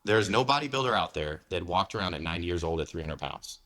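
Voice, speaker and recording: A man in his forties from the United States speaks in a deep, low register and at a quick clip. He is frank and casual as he talks through a long-form interview, leaning on "you know" and "man". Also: lively intonation, bright, forceful tone. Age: 40s. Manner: blunt, candid, peppered with "man" and "you know". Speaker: guest, fitness coach.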